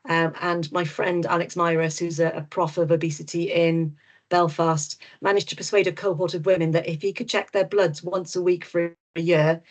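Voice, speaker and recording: Welsh lady doctor, lowish voice, blunt and reassuring, lots of ums. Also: flat delivery, moderate pace. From Wales. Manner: frank, reassuring, many ums and repeats. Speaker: GP and nutritionist guest speaker. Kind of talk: webinar Q&A answers.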